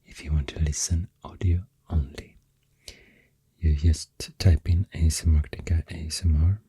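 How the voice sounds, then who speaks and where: A man with a Swedish accent rambles in soft-spoken, near-whispered ASMR, gentle and unhurried, close to the microphone. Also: steady tone, dry recording. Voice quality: breathy, near-whispered deep voice. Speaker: ASMR video host.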